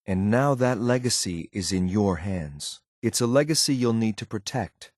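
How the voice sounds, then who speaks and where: An actor playing a stern, loyal synthetic agent in a video game, speaking in a clipped, formal, deadpan military way. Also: male voice, on the low side, normal speed, no room sound, clean studio sound. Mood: measured, resolute. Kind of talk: scripted game character lines.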